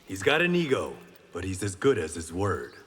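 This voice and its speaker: Kenshi, a forceful, resolute blind swordsman. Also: low voice, wildly swooping pitch, moderate pace, slightly rough, full character voice. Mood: wry, confident. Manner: confident, dry, determined. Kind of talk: fighting game character banter. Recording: long reverb, faint background noise.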